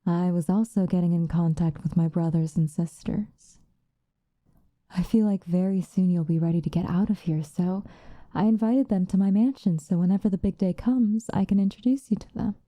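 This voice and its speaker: Woman acting a dark, velvety, possessive female vampire. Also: lowish voice, dry recording, lightly acted. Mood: tender, possessive. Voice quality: soft, breathy, often dropping to a whisper. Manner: slow, coaxing, condescendingly affectionate. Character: possessive female vampire lover, doting yet menacing. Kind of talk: ASMR roleplay monologue. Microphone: close microphone.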